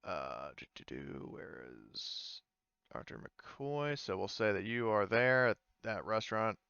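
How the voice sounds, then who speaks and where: A man working as game master, narrating a tabletop game casually and haltingly as he thinks aloud. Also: on the low side, lively intonation, gravelly, sharp tone, clean tone, no echo, muffled recording.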